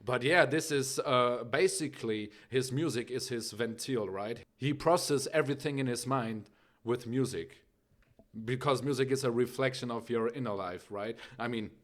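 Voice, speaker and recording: A man's voice: mid-range voice, moderate pace. A young man with a German accent, speaking English as a second language, hosting a casual music reaction video. He rambles with many fillers and keeps asking "right?".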